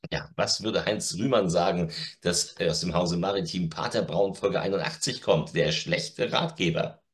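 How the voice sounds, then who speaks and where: A man's voice: deep voice, normal speed. A middle-aged man chatting casually as co-host of a show, recorded at home on a video call. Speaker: co-host of an audio-drama news show. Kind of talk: casual chat. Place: room at home, indoors.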